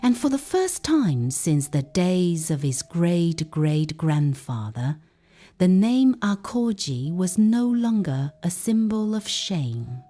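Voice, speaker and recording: A woman narrating a folktale as a storyteller, with deliberate, emphatic and dramatic phrasing. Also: fairly low pitch, big pitch swings, warm tone.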